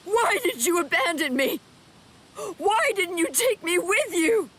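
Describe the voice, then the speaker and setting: A woman's voice: extremely high pitch, big pitch swings, a bit bright, full character voice. A woman playing an anguished, pleading young warrior who feels betrayed, in an anime game dub. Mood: anguished betrayal. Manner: slow, pleading, wounded.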